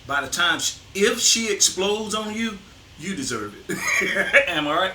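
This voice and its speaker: A man's voice: high voice, very animated, unhurried, bright, forceful tone. A middle-aged man hosting a casual conversation, firm and emphatic, pushing his voice up to stress points. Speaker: host, father interviewing his son. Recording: echoey room with faint noise.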